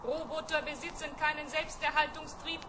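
A woman's voice: high-pitched, fairly even intonation, moderate pace, noisy background, natural voice. A woman playing a cocky, argumentative spaceship robot in an old German-style children's radio play, precise and pedantic. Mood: pedantic, cool. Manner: precise, matter-of-fact, pedantic.

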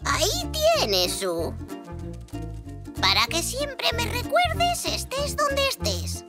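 Very old cartoon zebra great granny, theatrical, doting, swoops around slowly. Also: female voice, extremely high pitch, wildly swooping pitch, music in the background, heavily acted. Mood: sentimental. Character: affectionate, dramatic, very old zebra great-grandmother. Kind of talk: Spanish-dubbed preschool cartoon acting.

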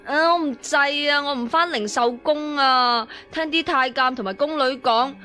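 Spoiled teenage-like princess, Hong Kong Cantonese radio drama; pouty wheedling, high, sharp, faint music. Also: female voice, normal speed. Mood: childish, insistent. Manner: whiny, pouty, coaxing.

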